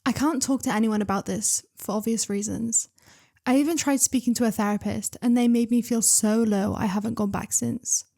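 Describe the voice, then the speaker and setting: Young woman story reader with a slightly high voice, chatty and excitable, full of asides. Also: normal speed, gravelly. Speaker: reader and channel host. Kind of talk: reading online stories aloud with commentary.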